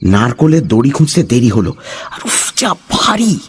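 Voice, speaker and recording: A man's voice: mid-range voice, sing-song, normal speed, husky, pitched above the performer's own voice. A man voicing a timid, gullible, anxious schoolboy in an audio story, hesitant and earnest. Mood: breathless, complaining. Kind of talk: Bengali audio story acting.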